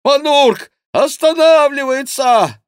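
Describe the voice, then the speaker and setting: A fearful, aggrieved monk from an audiobook tale, crying out in a very high, strained voice. He whines and exclaims, a clumsy rider pleading loudly, with pitch that swoops about. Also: expressive, slow pace, dry recording, studio quality, exaggerated voice. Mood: desperate wailing. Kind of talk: audiobook character voice.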